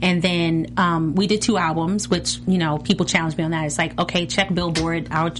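A woman's voice: lowish voice, fairly even intonation, moderate pace, noisy background. A middle-aged woman talking casually as a guest in an interview, with lots of fillers like "you know" and "like". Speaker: guest, reality TV cast member and singer.

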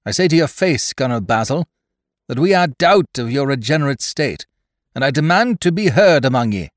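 A man's voice: mid-range voice, lively intonation, moderate pace, no echo, studio quality, pitched above the performer's own voice, close to an everyday voice. A man voicing a sanctimonious mutinous sailor who talks in a preachy, old-fashioned way.

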